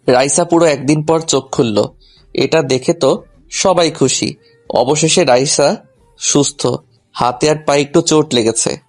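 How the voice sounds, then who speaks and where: A man narrating a scripted first-person story in a colloquial, humorous manner. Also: fairly high pitch. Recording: music behind the voice.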